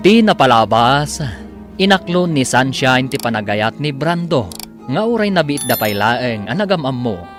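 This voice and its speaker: Casting: male radio drama announcer, Filipino accent, lively swooping delivery of scripted narration, music clearly underneath. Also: medium pitch, normal speed.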